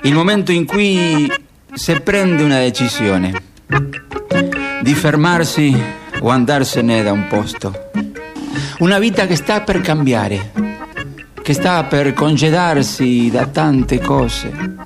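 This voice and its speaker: Slow, poetic man. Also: fairly high pitch, very animated, vocal fry, music in the background. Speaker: radio theatre host and narrator. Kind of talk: poetic dramatic radio narration.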